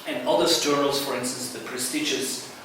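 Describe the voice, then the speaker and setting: A man with a Swiss accent, English not his first language, chairing a conference session in halting, filler-heavy speech. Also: mid-range voice, fairly even intonation. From Switzerland. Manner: halting, many "uh" fillers, warm and earnest. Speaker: journal editor chairing a session. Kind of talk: conference panel remarks. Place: conference room. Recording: echoey hall, distant mic, noisy.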